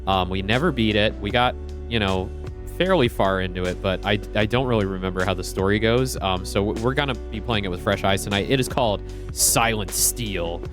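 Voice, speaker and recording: Chatty low-voiced man streaming a game. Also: brisk, music in the background. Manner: friendly, chatty, greets chat by name. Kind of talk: casual game stream chat.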